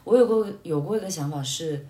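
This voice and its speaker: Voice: mid-range voice, fairly quick, warm tone. A podcast host in casual conversation, listening attentively and responding with short acknowledgements and easy remarks. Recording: echoey room.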